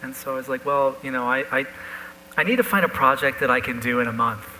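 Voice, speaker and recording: A man's voice: medium pitch, quick pace, pushed and bright. A man casually telling an anecdote as a guest in a live on-stage conversation. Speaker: panel guest, illustrator. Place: live talk event stage. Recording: noisy live recording with hum.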